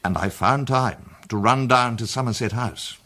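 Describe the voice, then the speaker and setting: A man playing a confident amateur detective in a British radio drama, setting out his case in a deliberate, emphatic, theatrical way. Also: medium pitch, pushed and bright. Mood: confident, explanatory.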